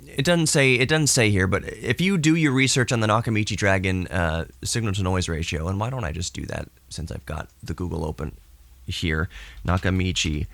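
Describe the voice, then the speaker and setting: Male podcast host, lowish voice, rambling hobbyist explainer, very dry close sound on cassette tape. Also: creaky, a bit bright, no echo. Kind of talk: casual technical monologue. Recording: recorded to cassette with tape hiss.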